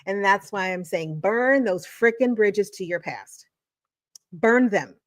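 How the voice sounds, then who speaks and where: A woman's voice: medium pitch, animated, slow pace, no room sound. A woman educator in her forties or fifties giving emphatic, motivational video commentary, repeating phrases for effect. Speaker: educator and channel host.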